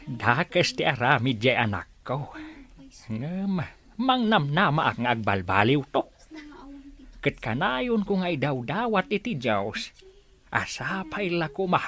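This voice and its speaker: A man's voice: fairly high pitch, big pitch swings, slow, fairly bright tone, exaggerated voice. A man playing a worried old father in a radio drama, sighing and lamenting about his wayward son. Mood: lamenting, pleading.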